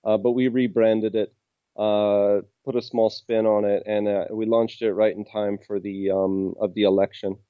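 An American man speaking in a low, flat voice, stopping often on ums and small restarts as he explains his work. The sound is dry and somewhat dull, as if recorded close in a quiet room with limited clarity. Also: moderate pace, no room sound. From United States. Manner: hesitant, many ums and restarts. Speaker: game company representative. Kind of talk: interview answers.

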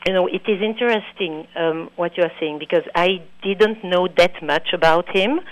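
A woman's voice: fairly low pitch, moderate pace, no echo, muffled recording. Belgian-accented woman in a dry studio sound. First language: French. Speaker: interview guest, documentary filmmaker. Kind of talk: radio interview.

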